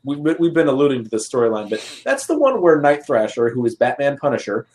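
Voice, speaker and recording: A man chatting informally with wry humorous commentary and a slightly halting delivery. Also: mid-range voice, very animated, darkish tone. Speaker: talk show co-discussant. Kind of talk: casual chat, humorous commentary.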